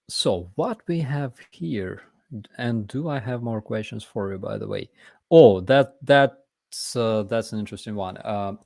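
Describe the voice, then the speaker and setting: Low-voiced man hosting a casual chat. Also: vocal fry, dry recording, clean studio sound. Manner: animated, mimics others mid-story. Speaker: host.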